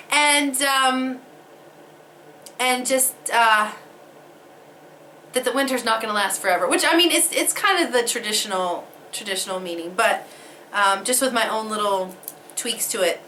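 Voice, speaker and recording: A woman chatting to her camera, her voice fairly high and very bright but wearing thin from a head cold. She laughs a lot and swears in passing. A faint hiss sits under the recording. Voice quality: laughs often, swears casually. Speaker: vlogger. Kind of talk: casual chat to camera.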